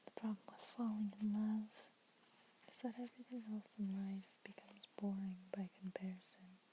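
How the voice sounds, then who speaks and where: A woman's voice: medium pitch, little pitch movement, moderate pace, slight background noise, poor audio. A young woman whispering throughout, reading poems aloud for an ASMR video. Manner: soft unvoiced whisper throughout; casual, chatty intro with fillers. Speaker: ASMR creator.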